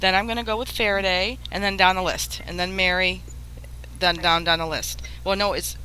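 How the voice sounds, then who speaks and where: A woman's voice: fairly low pitch, fairly even intonation, moderate pace, sharp tone, slight background noise, low-quality recording. A woman hosting a casual chat with radio performers, thanking them and putting questions to them. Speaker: radio show creator and host.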